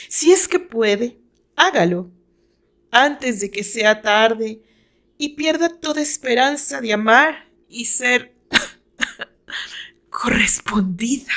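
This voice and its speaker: Radio drama villainess, acted by a woman narrator: mocking, bitter, breathy at times, very slow and theatrical. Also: on the high side, fairly bright tone, exaggerated voice. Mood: menacing. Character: cruel, bitter blonde rival, mocking and taunting.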